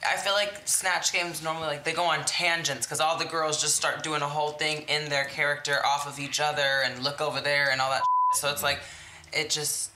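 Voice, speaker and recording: Very low-pitched woman, echoey room. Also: little pitch movement, a little fast, bright, forceful tone, slight background noise. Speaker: drag queen contestant on a reality competition. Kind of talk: casual group interview.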